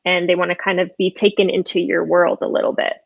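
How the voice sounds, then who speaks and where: A woman's voice: on the low side, flat delivery, fast, vocal fry, dry recording, narrow, tinny audio. A woman hosting a conversational podcast interview, speaking in a casual, enthusiastic way. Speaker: podcast host.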